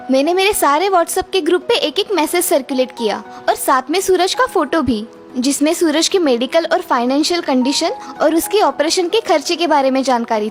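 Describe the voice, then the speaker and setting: A woman acting a high-voiced, kindly young daughter-in-law. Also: lightly acted. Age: young adult. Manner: reassuring, polite. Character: kind, capable young working daughter-in-law. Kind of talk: children's cartoon story voice acting.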